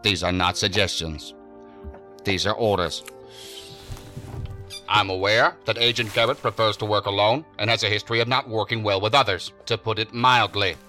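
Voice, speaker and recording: Stern male federal agency director, lowish forceful voice, formal and commanding, for sci-fi audio drama. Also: steady tone, soft background music. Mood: commanding, impatient. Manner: formal, firm, commanding, measured. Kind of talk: science fiction audio drama acting.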